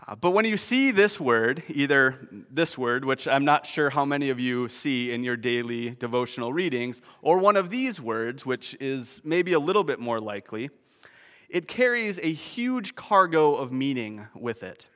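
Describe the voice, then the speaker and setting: Male pastor, mid-pitch, sermon delivery with self-mocking humor, church hall echo. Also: expressive, normal speed, fairly bright tone. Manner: self-deprecating, nerdy asides.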